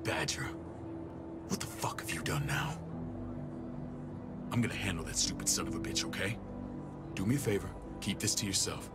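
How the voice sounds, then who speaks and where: Casting: hardened, low-voiced soldier in charge of security, commanding, blunt, protective, for a cinematic game; music behind. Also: male voice, expressive, moderate pace, long pauses. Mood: stern, irritated. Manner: blunt, profane, clipped orders. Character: gruff, commanding military man, hardened and protective older brother. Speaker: security chief of a survivor settlement. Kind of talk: cinematic video game acting.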